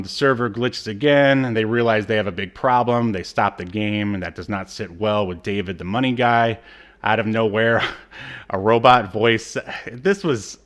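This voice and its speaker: Low-pitched man in casual, halting chat. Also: a bit bright. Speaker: podcast co-host. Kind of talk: casual chat, recapping a TV episode.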